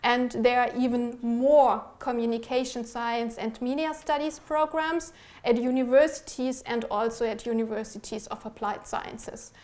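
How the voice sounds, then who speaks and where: Female presenter, fairly high voice, academic researcher delivering prepared slides in a measured, explanatory way. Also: steady tone, darkish tone.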